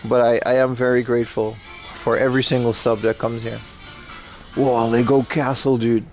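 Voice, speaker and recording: A young man livestreaming as he browses a secondhand shop, chatting excitedly and thanking viewers, recorded on a handheld phone. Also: mid-range voice, moderate pace, soft background music. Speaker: streamer. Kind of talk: casual IRL livestream chat.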